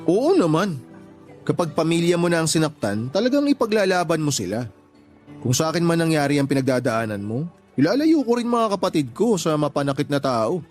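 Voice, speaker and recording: A man playing a calm, supportive young boyfriend in a Tagalog radio drama, speaking in a reassuring, conversational way. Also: fairly high pitch, brisk, faint music behind. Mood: sympathetic, reassuring.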